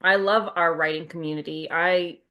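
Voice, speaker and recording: A woman's voice: fairly low pitch, steady tone, moderate pace, lo-fi sound. A woman co-hosting a casual chat show in an effusive, emphatic, cheerleading way. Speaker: podcast co-host.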